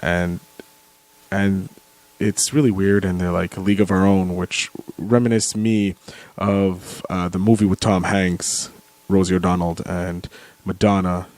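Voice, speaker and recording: A man with a very low voice comments on a film as it plays. He talks off the cuff and somewhat haltingly, working through the action step by step. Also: flat delivery, darkish tone, no echo, poor audio. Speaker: commentary contributor.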